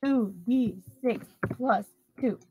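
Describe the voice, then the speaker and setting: A woman's voice: on the high side, slow, slightly rough, narrow, tinny audio. A woman chatting casually as a player in a tabletop roleplaying game.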